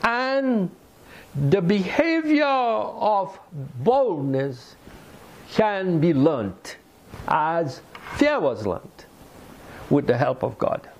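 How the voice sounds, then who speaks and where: Older male preacher, gravelly, animated pitch, small room, faint hiss. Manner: slow, deliberate preaching with pauses. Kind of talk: sermon. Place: small indoor room by a fireplace mantel.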